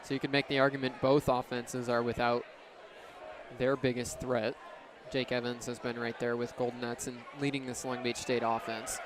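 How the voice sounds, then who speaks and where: A man's voice: medium pitch, flat delivery, normal speed. A man in his twenties doing live baseball play-by-play on the radio in clipped, descriptive phrases. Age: 20s. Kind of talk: live sports commentary. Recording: crowd and outdoor ballpark noise behind. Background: ballpark crowd.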